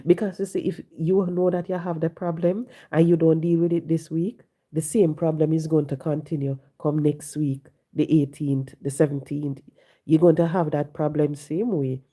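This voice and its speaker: Hoarse low-voiced woman. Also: fairly even intonation, moderate pace, warm tone. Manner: preachy, repeats points. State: hoarse and congested from flu. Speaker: livestream host. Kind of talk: casual live-stream talk reading viewer comments. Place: home, sitting.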